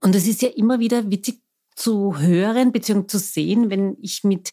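A woman's voice: mid-range voice, brisk, mellow. Teasing young woman host. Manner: playfully strict, teasing teacher tone. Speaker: podcast host, German teacher. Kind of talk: casual podcast conversation.

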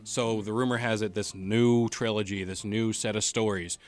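A man's voice: fairly low pitch, steady tone, moderate pace, soft background music. A man hosting a podcast, talking casually with a group about games. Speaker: podcast host.

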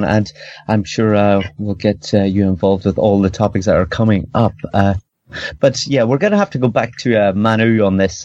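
Low-voiced man hosting a podcast. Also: dry recording. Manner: relaxed, many ums and restarts. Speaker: podcast host. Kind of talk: casual podcast chat. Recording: dull lo-fi podcast audio.

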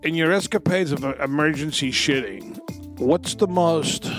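Male podcast co-host: slightly high voice, profane emphatic comic storyteller, light music behind. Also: unhurried. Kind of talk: casual comic storytelling and banter.

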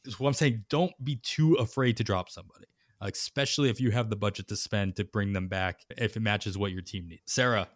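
A man's voice: fairly low pitch, brisk, dry recording, clean studio sound. A man hosting a casual sports podcast, chatting loosely and handing off to his guests. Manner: conversational, hands off to guests and wraps up the show. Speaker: podcast host. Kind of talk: casual fantasy baseball podcast chat.

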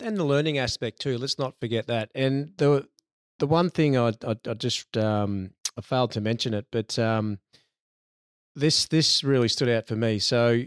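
Podcast co-host, smooth clear voice, earnest, halting, dry sound. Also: male voice, mid-range voice, dry recording. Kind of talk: casual podcast chat.

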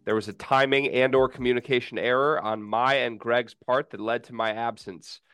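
A man co-hosting a casual sports podcast, sick and feeling rough, with dry deadpan teasing. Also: medium pitch, normal speed, dry recording, soft background music. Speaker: podcast co-host.

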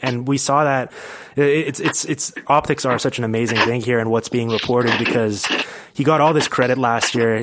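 A man's voice: mid-range voice, a bit bright, slight background noise. A man hosting a casual sports podcast, explaining his points in a rambling, slightly hesitant way. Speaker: podcast host and hockey writer.

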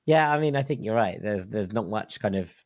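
A male podcast host with a somewhat low voice talks football with keen enthusiasm, often doubling words. The sound is dry and close, with no room echo. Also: lively intonation, brisk, darkish tone. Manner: enthusiastic, many likes and repeated words. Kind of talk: casual football chat.

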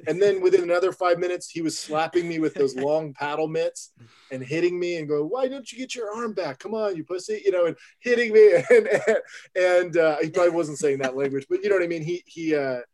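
A filmmaker and guest, a man with a slightly raised pitch, tells his story with eager, animated energy. He rushes through anecdotes, jumping between asides. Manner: enthusiastic, animated, fast storyteller. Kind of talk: casual storytelling in an interview.

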